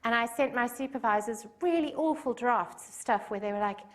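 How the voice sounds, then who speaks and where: A woman's voice: fairly high pitch, brisk. A woman in her forties with a South African accent gives a conference talk in a chatty, self-deprecating way, with plenty of fillers. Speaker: conference speaker. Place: conference room.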